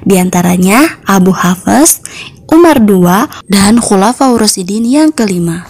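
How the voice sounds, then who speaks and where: A woman's voice: medium pitch, lively intonation, unhurried, darkish tone, breathy edge. Young lady teacher reading out a lesson step by step, bit of husk in the voice. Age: young adult. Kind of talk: scripted lesson narration for schoolchildren.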